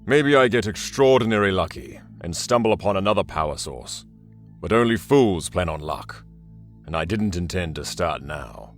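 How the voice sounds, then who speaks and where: An ancient demon warlord with a deep, low voice. He is arrogant and coldly calculating, weighing each phrase with dry, sardonic deliberation. It is an audio drama monologue over faint music. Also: lively intonation, normal speed.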